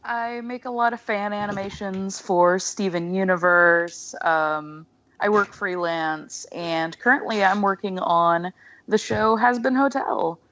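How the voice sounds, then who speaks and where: A woman's voice: mid-range voice, unhurried, fairly bright tone, muffled recording, a little hiss or hum. A woman guesting on a podcast in casual, self-deprecating chat, sounding stuffy from a cold.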